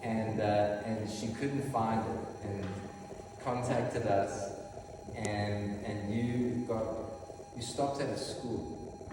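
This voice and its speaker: A man in his fifties with a South African accent, preaching a sermon and reading from Scripture with relaxed, self-deprecating humor. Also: lowish voice, very slow. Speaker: pastor. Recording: echoey hall from far off, noisy.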